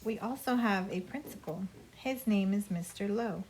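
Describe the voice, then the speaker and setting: A woman reading a children's story aloud in a measured storybook manner. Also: medium pitch, darkish tone, a little hiss or hum, poor audio. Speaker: school staff member reading aloud.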